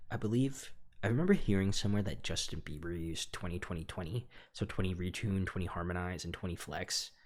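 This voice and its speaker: Laid-back young man with a lowish voice. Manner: relaxed and rambling, many fillers and hedges. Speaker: musician explaining his own song production. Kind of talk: casual studio walkthrough. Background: faint music.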